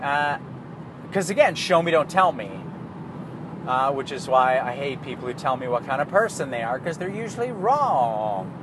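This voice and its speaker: A man's voice: medium pitch, expressive, normal speed. A Canadian man talking in a casual, rambling monologue, swearing freely and laughing at himself. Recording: street and car noise behind.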